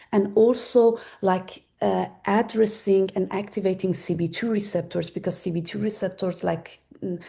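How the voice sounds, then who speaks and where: A woman in her forties, a native Persian speaker, giving a webinar talk on medical research in a halting way, with many fillers and restarts. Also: medium pitch, creaky, dark tone. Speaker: webinar presenter. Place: Germany.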